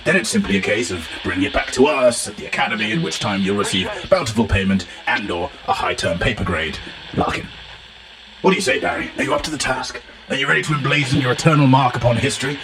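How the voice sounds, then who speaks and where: A man's voice: mid-range voice, fast talker, over a noisy crowd, natural voice, poor audio. A man voicing a pompous, bumbling professor who rambles in flowery speech with blunt asides, in a comic fantasy game. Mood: grandiose. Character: pompous, bumbling, long-titled male professor of adventure lore. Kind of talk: comic in-character monologue as a recorded message.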